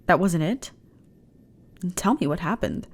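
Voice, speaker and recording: Woman acting a low-voiced, flirty alien officer. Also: expressive, a little slow. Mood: concerned, coaxing. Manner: teasing, chatty, conversational with sighs and soft laughs. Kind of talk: intimate ASMR roleplay acting.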